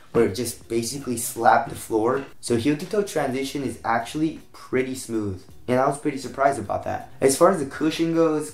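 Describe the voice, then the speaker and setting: A young American man with a slightly raspy voice, getting over a cold, giving a casual review to camera as a channel host. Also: mid-range voice. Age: young adult. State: recovering from a cold. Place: small home room, indoors, at a desk. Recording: roomy echo.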